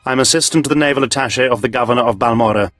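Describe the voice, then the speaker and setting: A man's voice: medium pitch, very fast, fairly bright tone, no echo, faint music behind, close to an everyday voice. A man playing a haughty, short-tempered imperial officer in a video game, pompous and imperious. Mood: smooth apology. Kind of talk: video game cutscene acting.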